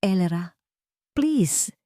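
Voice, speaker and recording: Woman acting a calm, resolute wronged mother. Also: lowish voice, slow pace, no room sound, clean studio sound. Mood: calm resolve. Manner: calm, firm.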